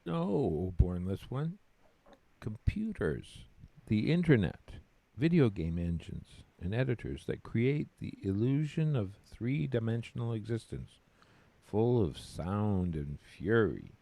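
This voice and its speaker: Man playing a low, theatrical, grandiose spirit companion. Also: big pitch swings, slow, vocal fry, dark tone, no echo, full character voice. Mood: declamatory. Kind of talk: scripted audio drama dialogue.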